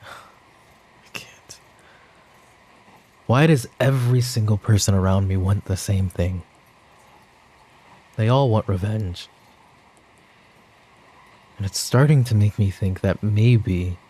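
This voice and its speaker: A man playing a tired, guilt-ridden mafia boss and worried father in a roleplay ASMR audio drama, brooding, with sighs and pauses. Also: low voice, warm tone, slight background noise. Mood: brooding, confiding.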